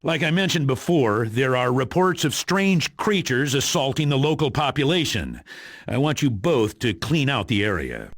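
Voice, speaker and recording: A man's voice: mid-range voice, sing-song, moderate pace, no echo, studio quality. A man playing a stern, businesslike spy commander giving video game mission briefings in an authoritative, matter-of-fact way.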